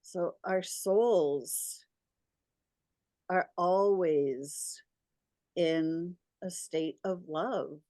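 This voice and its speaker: A woman leading a guided spiritual meditation, speaking deliberately in short phrases with long pauses. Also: fairly low pitch, warm tone, no room sound, clean studio sound.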